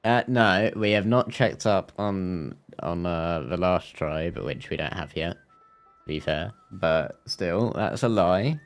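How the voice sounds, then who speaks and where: A man's voice: sits low, slow. A man livestreaming a game, reading dialogue aloud and commenting jokingly, sometimes stumbling over the lines. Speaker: live streamer. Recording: game music behind.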